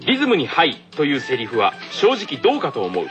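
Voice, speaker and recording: A man's voice: on the high side, huge pitch swings, fairly quick. A man co-hosting a comedic radio show, politely presenting quiz questions and bantering. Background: loud background music.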